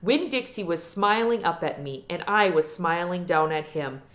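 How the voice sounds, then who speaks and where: A woman reading a children's novel aloud in an expressive storytelling style. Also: lowish voice, moderate pace. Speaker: read-aloud reader.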